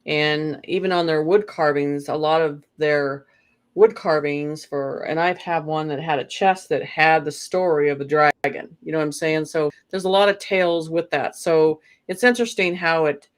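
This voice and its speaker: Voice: mid-range voice, fairly even intonation, moderate pace, clear, resonant tone. A guest in a casual spiritual conversation, rambling along with lots of "you know" and restarts.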